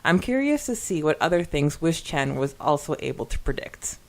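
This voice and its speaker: A woman co-hosting a podcast in casual fan discussion, animated and repeating words for emphasis. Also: low voice, normal speed. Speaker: podcast co-host.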